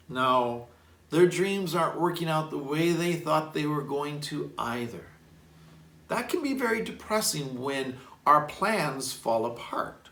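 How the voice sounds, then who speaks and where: A man, a priest, reading a children's story aloud in an expressive, deliberate way and adding reflective asides. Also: fairly high pitch, creaky. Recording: roomy echo, distant microphone.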